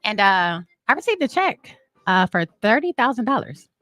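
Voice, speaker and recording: Female, thirties, talk show guest. Informal, animated storyteller, mid-range voice, dry recording. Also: mid-range voice, normal speed, no room sound. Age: 30s. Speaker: talk show guest, marketing and branding entrepreneur. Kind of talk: casual interview chat.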